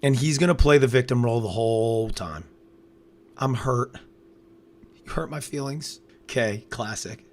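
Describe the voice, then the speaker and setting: Hoarse male co-host, raspy and loud, close on the mic, relaxed live-stream chatter. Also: mid-range voice, a little slow, pauses often, slight background noise. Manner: relaxed, reacts to live chat. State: hoarse after losing his voice. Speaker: podcast co-host. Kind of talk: casual live watch-along commentary. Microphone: close podcast microphone.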